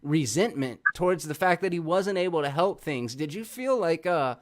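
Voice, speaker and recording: A man's voice: on the high side, expressive, normal speed. A man hosting a casual film review show, effusive and swearing freely as he talks. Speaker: host of a movie review show.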